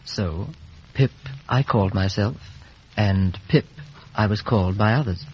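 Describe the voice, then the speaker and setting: An actor playing a grown British man soberly recalling his bleak childhood in measured radio drama narration. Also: on the low side, natural voice. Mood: somber, reflective. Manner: measured, grave, literary. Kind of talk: scripted first-person radio drama narration. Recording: dull, hissy 1950s radio recording.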